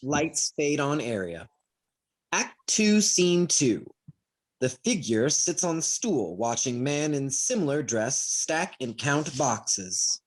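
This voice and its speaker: Husky man narrating stage directions. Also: fairly high pitch, very animated, slow pace, poor audio. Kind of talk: scripted narration at play table reading. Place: indoors, remote video call.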